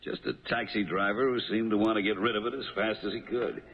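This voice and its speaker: An actor playing a jovial, shrewd police lieutenant in a 1970s radio drama. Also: male voice, fairly low pitch, steady tone, quick pace, husky. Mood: probing. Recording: tinny, noisy 1970s radio broadcast.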